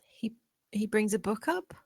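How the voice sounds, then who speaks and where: A woman's voice: medium pitch, lively intonation, a little slow, no room sound, clean studio sound. A woman playing a bold, scheming young maid in a screenplay table read. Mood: probing, hesitant.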